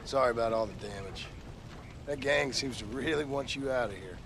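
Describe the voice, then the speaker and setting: A man playing a steady, courteous American cowboy in a dramatic video game, plain-spoken and dry. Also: mid-range voice, moderate pace, natural voice. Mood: dry, sincere. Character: steady, courteous, rugged cowboy and aspiring farmer. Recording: clear ambient noise of animals and vehicles.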